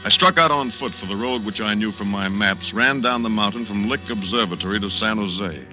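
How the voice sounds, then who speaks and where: A man's voice: lowish voice, natural voice. Man acting cold, calculating thriller pilot, muffled old radio. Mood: quietly relieved. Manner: clipped, deliberate, dramatic delivery. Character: cold, calculating male pilot plotting his own faked death. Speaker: actor narrating and playing the lead. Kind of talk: 1940s radio thriller acting, first-person narration. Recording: muffled old radio recording, loud music behind.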